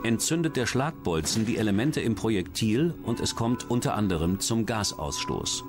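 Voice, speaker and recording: Man, documentary narrator, lower-pitched voice, music playing underneath. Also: lively intonation, normal speed. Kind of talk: scripted true-crime narration.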